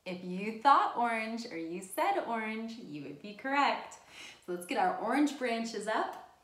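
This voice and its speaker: A woman leads a storytime for small children with very lively, swooping intonation. Her delivery is encouraging and sing-song as she talks straight to the kids. The sound is roomy and echoing. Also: on the high side, unhurried. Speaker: children's storytime host at a library. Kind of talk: interactive storytime for young children.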